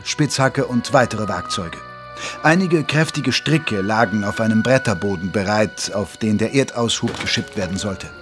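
An actor playing a Victorian gentleman doctor who narrates his detective friend's case in measured, literary audio drama style. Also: mid-range voice, close to an everyday voice. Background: music under the voice.

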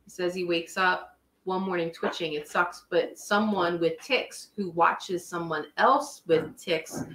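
A woman's voice: lowish voice, measured pace, a bit bright. A woman co-hosting a home panel chat, reading out viewer questions in a chatty way. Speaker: co-host. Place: living room, indoors. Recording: echoey room.

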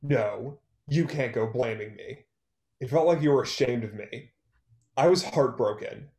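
A man's voice: medium pitch, a little slow, darkish tone, natural voice. A young man playing a bitter, heartbroken songwriter, curt and guarded, in a play read over video call. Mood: hurt, accusing. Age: young adult. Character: Liam, bitter, heartbroken male songwriter, guarded toward former love. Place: plain-walled room at home, indoors.

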